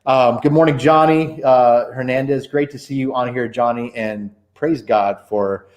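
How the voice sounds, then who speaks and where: A man's voice: medium pitch, steady tone, a little slow, a little mellow. A man in his forties hosting a casual church livestream interview, chatty and rambling with many fillers and restarts.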